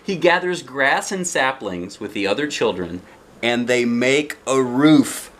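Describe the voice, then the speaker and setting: A man's voice: mid-range voice, expressive, measured pace, fairly bright tone. A man reading a children's picture book aloud expressively, with chatty asides and questions to the young listener. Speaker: storybook reader.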